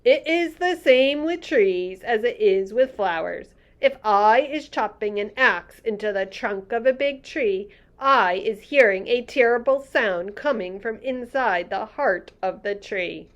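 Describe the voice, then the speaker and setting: A woman's voice: fairly high pitch, animated, unhurried, hardly any pauses, highs cut off, pitched above the performer's own voice. A woman voicing a big friendly old male giant who talks earnestly in muddled grammar. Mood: solemn.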